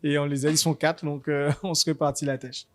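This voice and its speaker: A man, director of a dance school, explaining competitions in halting sentences with many restarts. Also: on the high side, flat delivery. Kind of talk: interview answers.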